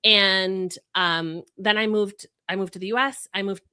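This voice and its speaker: A woman's voice: mid-range voice, fairly even intonation, measured pace, bright, forceful tone, smooth. A woman from Venezuela whose first language is Spanish, a brand strategist explaining her ideas in a podcast interview with examples and rhetorical questions.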